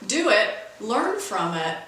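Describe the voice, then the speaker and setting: A woman's voice: mid-range voice, sing-song, takes it slowly, fairly bright tone. A woman interviewing guests in a casual chat, encouraging and agreeing often. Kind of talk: casual conversation. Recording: echoey room, distant mic.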